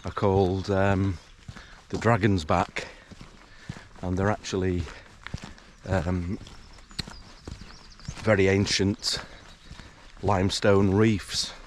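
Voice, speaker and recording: A man narrating a morning countryside walk in a relaxed, hesitant way, full of fillers, his voice a little croaky from just waking up. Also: sits low, takes it slowly, pauses often, a little hiss or hum. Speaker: hiking vlogger. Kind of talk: casual walking commentary. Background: rural outdoor sounds, animals.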